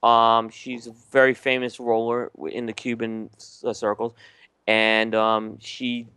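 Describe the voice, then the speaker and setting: A man's voice: mid-range voice, a little slow, smooth, dry recording. A man co-hosting a casual podcast, talking at ease with frequent ums and restarts. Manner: relaxed, many ums and restarts. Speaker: podcast co-host. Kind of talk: casual chat reviewing cigars.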